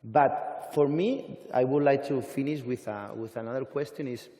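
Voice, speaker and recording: A man on an industry panel, speaking deliberately with halting, non-native phrasing. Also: medium pitch, mellow. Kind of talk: panel discussion. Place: conference hall stage. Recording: hall reverb from conference stage.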